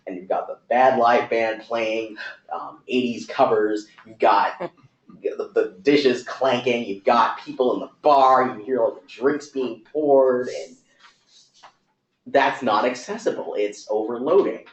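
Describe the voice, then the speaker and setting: A man speaks in a medium voice and explains his point in long, rapid, run-on sentences with fillers. The recording sounds dull and lo-fi. It has a noticeable echo from the room. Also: medium pitch. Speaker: talk speaker. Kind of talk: conversational talk.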